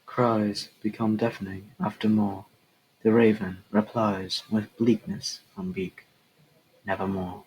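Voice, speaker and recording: A man's voice: fairly low pitch, slow, darkish tone, rough recording. A young man, a student, reading a scripted retelling of a poem aloud. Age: teens or young adult. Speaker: student reader. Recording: clear background noise.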